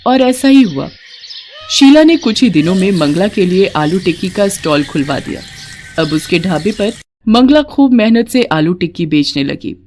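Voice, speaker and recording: A woman's voice: fairly low pitch, expressive, normal speed, darkish tone, slight background noise. Woman narrating a scripted story.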